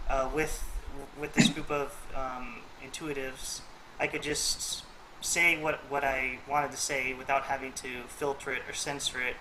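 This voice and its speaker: A man's voice: mid-range voice, little pitch movement, measured pace, few pauses, noisy background. A man hosting a casual chat, thoughtful and rambling, with plenty of fillers. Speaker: host.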